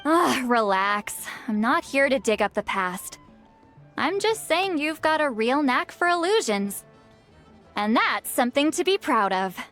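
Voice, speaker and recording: A spirited, youthful-sounding granny figure in a video game. Her voice is high and lively in its swoops, playful and easygoing, with music underneath. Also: measured pace, heavily acted. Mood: teasing, encouraging. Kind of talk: video game dialogue acting.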